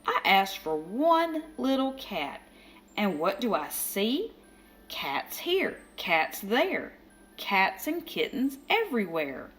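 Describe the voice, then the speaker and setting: Old storybook wife, put on by a woman reader: practical, exasperated, emphatic scolding, animated pitch. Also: takes it slowly, faint music behind.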